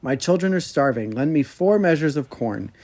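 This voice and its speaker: A man's voice: a little high, lively intonation, moderate pace, a little mellow, natural voice. A man voicing a humble, anxious, honest poor peasant in a storybook, speaking earnestly and formally. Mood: pleading.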